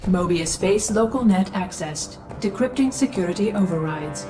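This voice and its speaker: A woman's voice: medium pitch, expressive, normal speed, over music, lightly acted. A woman voicing an impassive military computer in a video game, giving formal, detached system announcements.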